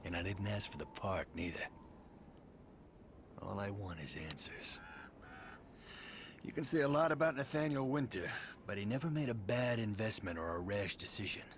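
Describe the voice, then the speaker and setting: A man's voice: lowish voice, big pitch swings, normal speed, pauses often. A man playing a bitter, sardonic estranged son of a tycoon in a video game cutscene, speaking with dry weariness. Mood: bitter, haunted. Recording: noisy game capture.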